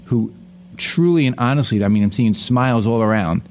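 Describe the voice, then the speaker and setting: A man's voice: medium pitch, a little fast, a little mellow, slight background noise. A middle-aged man hosting a casual panel conversation, speaking in a chatty, rambling, anecdotal way. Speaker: podcast host.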